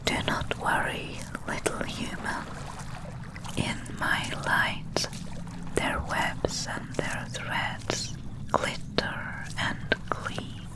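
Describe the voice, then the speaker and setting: A woman voicing a tiny, gentle will-o'-the-wisp swamp guide in a fully whispered ASMR roleplay, with hushed, careful, soothing phrasing. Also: drawn-out words. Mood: reassuring, careful.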